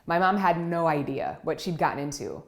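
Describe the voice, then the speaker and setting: A woman's voice: low voice, a little fast, vocal fry. A woman who grew up in Cameroon and Iowa, giving a personal storytelling speech as a keynote speaker. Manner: warm storytelling, vivid and expressive.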